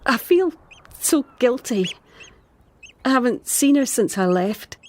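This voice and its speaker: A woman acting in an audio drama as a troubled, sensitive island woman, earnest and expressive, with a Scottish accent. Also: on the high side, slow. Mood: guilty, subdued.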